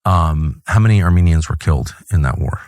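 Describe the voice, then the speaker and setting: A man from California interviewing a guest, asking probing, deliberate questions. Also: a bass voice, animated, darkish tone, no echo, studio quality. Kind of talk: interview conversation.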